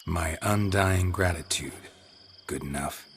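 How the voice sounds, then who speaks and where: An actor playing a stoic, world-weary monster hunter in a fantasy video game, with terse, dry, deadpan delivery. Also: male voice, low-pitched, takes it slowly, a little hiss or hum. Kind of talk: dramatic video game dialogue.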